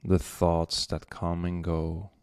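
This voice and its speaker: A man leading a guided meditation as the guide, speaking gently and unhurriedly with long pauses. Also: low-pitched, completely flat delivery, smooth, no echo, studio quality.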